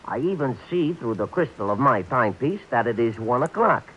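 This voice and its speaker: Guy playing a puffed-up racetrack bookie, fancy wordy talk, husky voice, pitch swoops all over, muffled old radio sound. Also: lively intonation, brisk, fairly bright tone. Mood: pompous. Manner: pompous, florid formal wording with bookie slang. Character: grandiloquent small-time racetrack bookie, adult man. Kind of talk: 1940s radio acting. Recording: muffled vintage radio broadcast.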